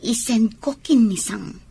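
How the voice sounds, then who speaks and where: A woman's voice: a little high, slow pace, creaky, warm tone, slightly rough, exaggerated voice. A woman acting as a scheming, jealous mistress in an Ilocano radio drama, with a coaxing, gloating delivery. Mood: sly, gloating. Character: scheming mistress, sweet-talking her soldier lover, jealous and sly.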